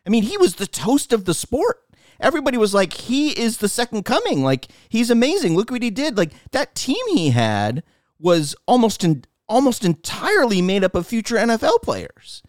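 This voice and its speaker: A man's voice: high voice, sing-song, moderate pace, a little mellow, no room sound. Middle-aged man with a dry, close sound. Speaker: podcast co-host. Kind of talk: casual sports and culture chat.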